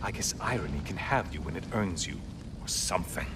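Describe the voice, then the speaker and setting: An actor playing a spiteful severed head of a god in a video game, sarcastic, wordy and theatrically mocking. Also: male voice, low voice, lively intonation, a little fast, noisy background. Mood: scornful taunting. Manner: sarcastic, verbose, theatrically taunting.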